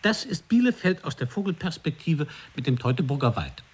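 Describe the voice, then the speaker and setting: An eager, lively-voiced man. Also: fairly high pitch, fairly quick, breathy edge, exaggerated voice. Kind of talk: experimental radio play dialogue.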